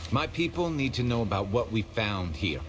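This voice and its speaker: Loyal survivor scout, steady, resolute, animated pitch, game drama, faint ambient noise. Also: male voice, normal speed. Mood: matter-of-fact. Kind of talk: dramatic video game acting.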